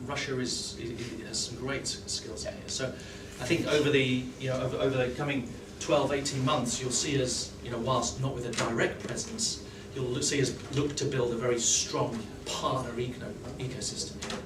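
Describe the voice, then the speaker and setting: A man's voice: medium pitch, level tone, moderate pace, few pauses, background noise. A man giving a conference talk, often restarting his sentences and adding fillers. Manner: hesitant, many restarts and fillers. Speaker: conference speaker. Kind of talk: presenting a talk. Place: large conference hall. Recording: roomy hall sound from a distance.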